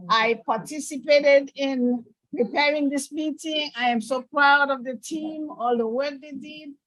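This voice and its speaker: A woman from Haiti speaking in an excited, informal way as a participant in an online meeting. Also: high-pitched, measured pace, no room sound, poor audio.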